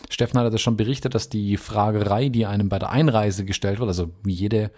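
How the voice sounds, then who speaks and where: A man's voice: fairly low pitch, steady tone, brisk, darkish tone. A man from the United States, casually recounting a trip in a rambling, chatty way with many fillers. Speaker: podcast guest. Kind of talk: casual travel storytelling.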